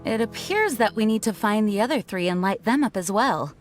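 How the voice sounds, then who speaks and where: A woman playing an earnest, courteous young knight commander in a video game, formal and measured. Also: mid-range voice, animated, over music, close to an everyday voice. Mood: composed, watchful. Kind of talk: video game character acting.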